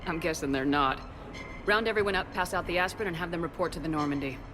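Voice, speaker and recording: An actress playing a steady, battle-weary military commander, composed and decisive in video game dialogue. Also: female voice, fairly low pitch, quick pace, over music, natural voice. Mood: brisk, wry.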